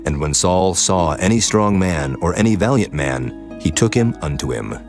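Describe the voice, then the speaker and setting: A man narrating scripture in a solemn, steady storytelling manner. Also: low-pitched, normal speed, over music.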